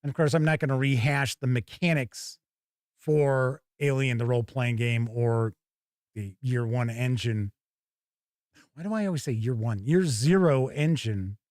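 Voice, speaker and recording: A man hosting a tabletop game show, giving casual, halting live unboxing commentary and thinking aloud. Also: mid-range voice, darkish tone, no room sound, clean studio sound.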